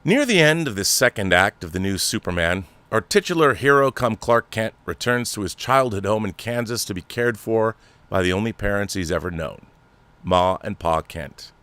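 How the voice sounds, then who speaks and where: A man's voice: on the low side, lively intonation, moderate pace, fairly bright tone, dry recording. A man hosting a film commentary show, talking in an emphatic, conversational way with asides. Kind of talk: casual commentary and reading an article aloud.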